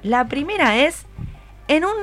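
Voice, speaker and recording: A woman's voice: high-pitched, big pitch swings, a little slow, fairly bright tone, soft background music. A woman with a Rioplatense Argentine accent hosting a conversational radio interview, encouraging and full of questions. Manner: encouraging, asks many questions. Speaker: radio host.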